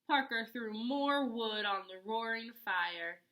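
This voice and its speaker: A woman reads a children's picture book aloud. Her voice is bright and a little high. She takes her time, telling the story expressively with dramatic, emphatic pauses. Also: expressive, rough recording.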